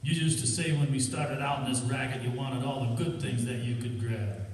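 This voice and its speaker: A man playing a smooth, menacing racketeer in an old-time radio crime drama, with a mock-soothing, sneering manner. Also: mid-range voice, steady tone, moderate pace, lightly acted. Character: smooth, menacing male racketeer turning on his partner. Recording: echoey hall, far from the mic.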